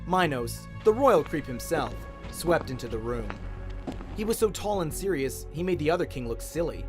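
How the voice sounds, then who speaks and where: Expressive Australian man narrating, lively pitch. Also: moderate pace, over music. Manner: expressive storytelling. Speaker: audiobook narrator. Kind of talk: dramatic scripted narration, radio-play audiobook.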